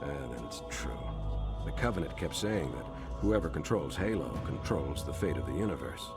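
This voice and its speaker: An actor playing a seasoned, resolute military captain in a sci-fi game, giving clipped, authoritative orders. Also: male voice, a bass voice, lively intonation, normal speed, loud music behind the voice. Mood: grave. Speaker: military commander. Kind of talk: dramatic sci-fi game cutscene acting.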